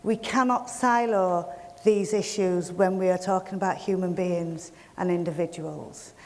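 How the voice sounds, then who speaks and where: A woman's voice: fairly low pitch, steady tone, a little slow, darkish tone. A middle-aged woman with a Yorkshire accent gives a frank, plain-spoken welcome speech to a live audience at an event, with wry self-deprecating humour. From Yorkshire, England. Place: event hall. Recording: long hall reverb from a venue PA.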